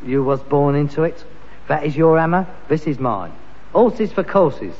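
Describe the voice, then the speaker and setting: Pompous touchy london son in an old sitcom, highish voice, muffled echoey recording. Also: male voice, lively intonation, measured pace. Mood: smug, pompous. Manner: pompous, blustering delivery. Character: pretentious, defensive, easily exasperated grown son in a sitcom. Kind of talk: vintage British sitcom acting.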